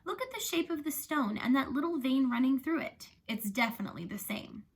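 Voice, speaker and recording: A woman's voice: high voice, animated, a little fast, slightly rough, voice pushed up. A woman voicing a sensible, wry young schoolgirl in an earnest, emphatic way for a storybook reading. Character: young schoolgirl narrator, sensible, wry, hot-tempered when provoked.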